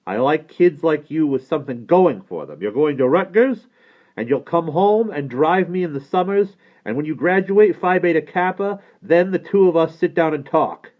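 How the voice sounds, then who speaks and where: A man puts on a domineering, wealthy builder boss for a dramatic reading. The voice is raised and swings widely as he issues loud, emphatic orders. The sound is slightly dull. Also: a little high, animated, moderate pace, voice pushed up, natural voice. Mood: assured, commanding. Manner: shouting, commanding, emphatic.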